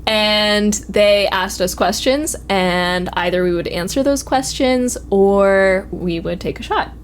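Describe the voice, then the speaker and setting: A woman's voice: mid-range voice, slow. A woman in her twenties chatting and telling personal stories casually as a guest, with some noise behind her. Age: 20s. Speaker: university program administrator, guest. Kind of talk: casual conversational storytelling. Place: office. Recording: noticeable background noise.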